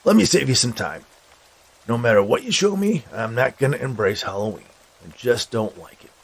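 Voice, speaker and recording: A man's voice: medium pitch, lively intonation, normal speed, gravelly, slightly rough. A man voicing a grumpy, stubborn old man in a story, with curt, sardonic, dismissive delivery. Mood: irritated, dismissive. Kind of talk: storybook character dialogue.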